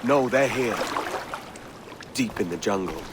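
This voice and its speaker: An actor playing a grim, resolute pirate captain in a video game cutscene. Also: male voice, on the low side, expressive, a little slow, noisy background. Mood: watchful.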